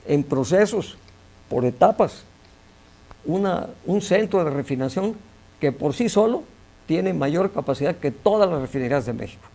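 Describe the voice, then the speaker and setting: Gravelly older Mexican man, measured and emphatic. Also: on the high side, expressive, warm tone. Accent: Mexican Spanish. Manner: measured, emphatic, repeats words when searching. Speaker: interview guest, engineer. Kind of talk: conversational interview.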